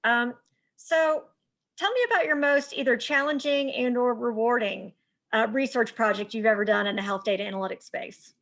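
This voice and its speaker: A woman hosts a webinar interview, putting questions to her guest with warm enthusiasm. Her voice sits a little higher than most women's. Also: moderate pace, gravelly. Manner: enthusiastic, encouraging. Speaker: host.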